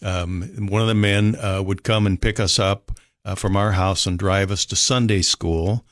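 Older man, minnesota accent, lowish voice, dry close sound, unhurried polite storyteller. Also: steady tone, a bit bright, no room sound. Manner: unhurried, polite, frequent fillers. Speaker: rescue mission director, guest. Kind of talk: relaxed storytelling interview.

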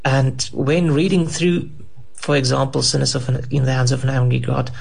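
A man in ministry speaking in a halting way, filling gaps with many ums and uhs. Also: medium pitch, flat delivery, creaky, husky. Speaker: pastor. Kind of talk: panel discussion.

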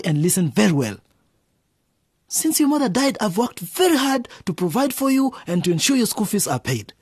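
A man's voice: fairly high pitch, husky, no echo, studio quality, exaggerated voice. An actor playing a stern but loving middle-aged father, a police officer, in a radio drama. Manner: admonishing, emphatic, paternal.

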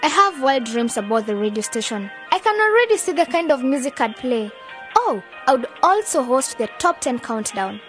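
Young female radio-drama role: high voice, excitable, emphatic, quick to tease, music behind. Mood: dreamy, eager. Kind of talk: educational radio drama acting.

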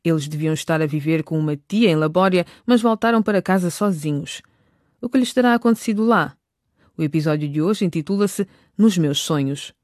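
A woman's voice: lowish voice, fairly quick, a little mellow, no room sound, studio quality. A woman reading scripted narration for a radio drama as its narrator.

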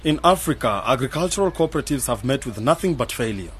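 A man's voice: mid-range voice, animated, quick pace, slight background noise, natural voice. A man acting in a radio drama as a skeptical, pragmatic young planner, challenging and matter-of-fact.

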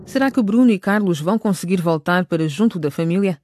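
A woman's voice: lowish voice, animated, fast talker, a little mellow, no room sound, studio quality. A woman narrating a radio drama from a script.

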